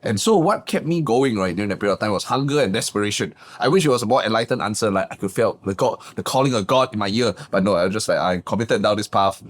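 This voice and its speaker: A man, a guest business coach telling his backstory in a lively, emphatic way. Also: quick pace, few pauses. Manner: animated, emphatic, swings pitch up for punchlines. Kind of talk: casual interview storytelling.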